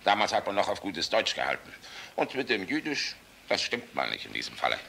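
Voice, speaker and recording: A man's voice: low voice, wildly swooping pitch, a little fast, gravelly, pushed and bright, slight background noise, full character voice. An actor playing a jovial, outspoken, fatherly air force general in a German radio drama, hearty, sardonic and commanding.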